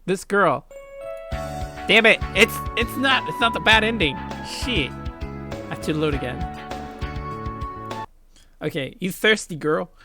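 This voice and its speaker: A woman's voice: on the low side, expressive, takes it slowly, long pauses, over music. A woman hosting a let's play, reading visual novel narration and adding casual gaming commentary, close on the mic.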